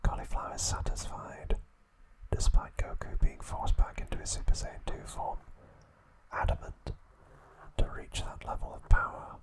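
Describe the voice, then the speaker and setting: Whispering male ASMR narrator. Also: poor audio. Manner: slow, hushed, deliberate phrasing with pauses. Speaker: ASMR channel host.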